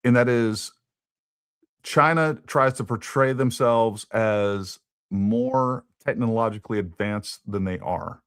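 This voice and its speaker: A man's voice: medium pitch, very animated, slow pace, creaky, a bit bright, clear, resonant tone, no echo, studio quality. A man hosting a casual political talk show, thinking aloud and repeating words as he goes. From Texas. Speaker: podcast host.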